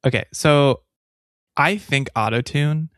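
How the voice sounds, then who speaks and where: A man's voice: fairly high pitch, slow pace, fairly bright tone, dry recording, studio quality. A man co-hosting a podcast, rambling in casual chat with lots of fillers. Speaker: podcast co-host.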